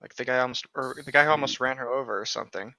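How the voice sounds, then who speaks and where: A man's voice: mid-range voice, rapid-fire, pushed and bright. A man co-hosting a casual chat, tossing in flippant asides and short jokey interjections, on a slightly dull microphone. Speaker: podcast co-host.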